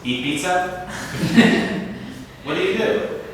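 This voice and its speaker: A man's voice: on the high side, expressive, extremely slow, recorded from a distance, slight background noise. A man in a casual talk, asking rhetorical questions and leaning on "you know" a lot. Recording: echoey hall, picked up from afar.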